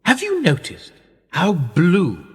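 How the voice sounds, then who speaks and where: An actor playing an older, patronising and manipulative establishment man in a radio play, speaking in measured rhetorical phrases. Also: sits high, wildly swooping pitch, breathy edge, in a large hall, exaggerated voice. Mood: coolly persuasive.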